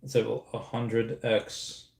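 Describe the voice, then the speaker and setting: A man's voice: mid-range voice, completely flat delivery, slow pace, gravelly, a little mellow, reverberant. A man in his thirties hosting a casual livestream, talking in a rambling way with many restarts and reading out viewer comments. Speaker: streamer host.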